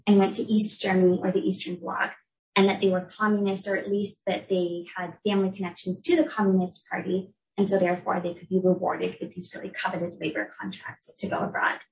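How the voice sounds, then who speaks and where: A young woman from the United States giving an academic talk as a researcher, explaining in a clear, measured way. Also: medium pitch, level tone. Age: young adult. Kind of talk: academic lecture. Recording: echoey room, voice from a distance.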